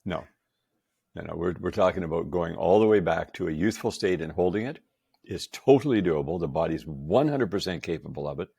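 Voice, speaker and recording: An upbeat man in his sixties holds forth as a podcast guest, his low voice rough with gravel and a husky grain. He hammers his points with repeated emphasis. The sound is close and dry. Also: animated, brisk, dark tone, no echo. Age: 60s. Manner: enthusiastic, emphatic, laughs often. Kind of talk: casual chat.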